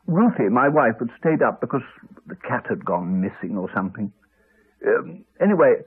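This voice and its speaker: An actor playing a courteous, wry English country doctor, middle-aged, with a hesitant, chatty manner in a 1980s radio drama. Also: male voice, on the high side, slightly rough, full character voice. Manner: hesitant, chatty, clipped phrases. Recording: slightly dull old radio recording.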